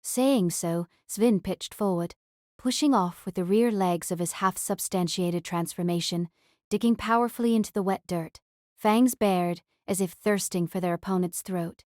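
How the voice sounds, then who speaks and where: A woman reading a novel as audiobook narrator, in a measured, literary storytelling manner. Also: lowish voice, a little mellow, no echo, studio quality.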